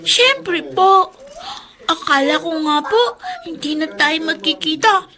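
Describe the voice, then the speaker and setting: A woman's voice: very high-pitched, expressive, slow, pushed and bright, heavily acted. A woman playing an affectionate little schoolgirl in a Filipino radio drama. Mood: excited, affectionate.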